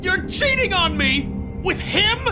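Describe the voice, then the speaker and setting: An actor playing a jealous, hot-tempered husband who shouts accusations. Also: male voice, very high voice, big pitch swings, takes it slowly, heavily acted. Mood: furious, jealous. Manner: shouting, accusing, then subdued. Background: game score music.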